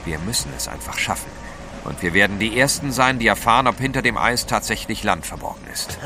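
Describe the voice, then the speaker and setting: Resolute gentleman explorer in a radio play, lowish commanding voice, noisy ship sounds behind. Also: animated, brisk. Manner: assured, commanding. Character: resolute gentleman adventurer, protective leader. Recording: noisy ship ambience effects.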